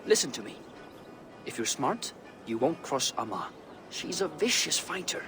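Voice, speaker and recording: An actor playing a chatty, rueful young Viking man in a video game, talking in a gossipy, animated way. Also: fairly high pitch, moderate pace, music in the background. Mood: warning. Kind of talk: video game dialogue acting.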